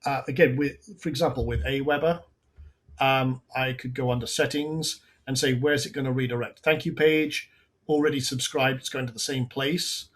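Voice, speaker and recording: A man hosting a live software webinar, talking casually through on-screen steps with frequent restarts while his voice goes hoarse. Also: mid-range voice, steady tone, moderate pace. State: voice going hoarse. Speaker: webinar host.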